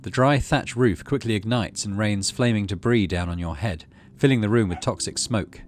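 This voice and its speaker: Low-voiced man narrating a video game. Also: moderate pace, soft background music. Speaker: let's play host. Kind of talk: gameplay commentary and reading game text aloud. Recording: clear background noise.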